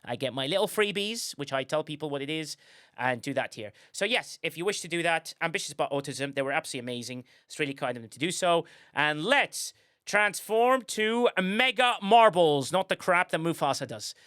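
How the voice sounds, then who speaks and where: A man's voice: on the high side, lively intonation, a little fast, fairly bright tone, clean tone, dry recording, clean studio sound. A man hosting a livestream, chatting casually in a rambling way and often correcting himself as he goes. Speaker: livestream host.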